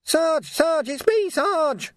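An excitable watchman from a comic fantasy audiobook. He squeals insistently, pitched far above normal talk, urgent and pestering. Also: slow pace, no room sound, studio quality, exaggerated voice. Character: excitable, pestering low-ranking male watchman.

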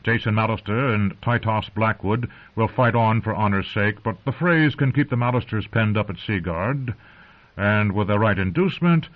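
An imperious old lord, played by a male narrator, speaks in a lowish voice with measured authority. He is a stern patriarch of a fantasy audiobook, used to command. The recording is narrow and phone-like. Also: lightly acted. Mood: firm.

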